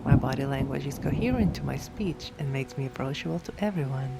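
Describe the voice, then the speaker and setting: A woman reads scripted affirmations for sleep hypnosis in a measured, deliberate way, phrase by phrase. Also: extremely low pitch, lively intonation, gravelly. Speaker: affirmations narrator. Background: background music.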